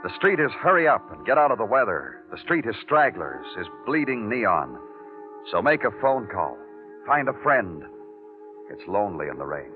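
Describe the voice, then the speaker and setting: An American actor playing a world-weary, poetic police detective who narrates in the deliberate, hardboiled style of 1950s radio crime drama. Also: male voice, lowish voice, very animated. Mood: brooding. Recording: narrow, tinny vintage radio recording, music.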